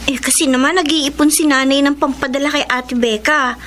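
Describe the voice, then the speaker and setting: A woman's voice: high-pitched, fairly even intonation, fairly quick, fairly bright tone, a little hiss or hum. An actress playing a cheerful, patient schoolgirl and devoted little sister in a radio drama, girlish and easygoing. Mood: bright.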